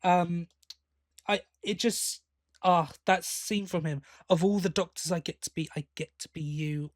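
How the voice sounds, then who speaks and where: Male podcast co-host, slightly high-pitched, conversational fan talk, dry sound. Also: a little slow, no room sound. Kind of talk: casual fan discussion.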